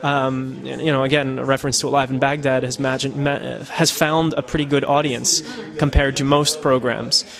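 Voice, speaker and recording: A man's voice: medium pitch, level tone, normal speed, creaky, few pauses, fairly bright tone. A man from the United States, a podcaster, answering interview questions in a casual, thoughtful way with many fillers and restarts. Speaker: podcaster and citizen journalist. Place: Netherlands.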